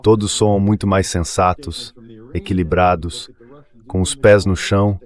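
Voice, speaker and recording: A man with a Brazilian accent speaks in a low-pitched voice. He delivers analytical commentary as an interview guest, in the form of a translated voice-over. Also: steady tone, unhurried.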